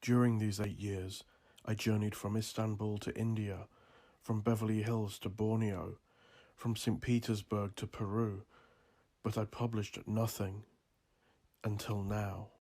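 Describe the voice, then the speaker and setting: A man narrating a scripted gothic horror piece as its author, in a theatrical, ominous and deliberate manner. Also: on the low side, little pitch movement, warm tone. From Northern Ireland. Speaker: author narrating own story.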